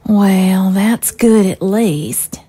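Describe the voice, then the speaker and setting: A woman playing an anxious, gentle young mother in an audio drama. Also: mid-range voice, extremely slow, husky, full character voice. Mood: worried.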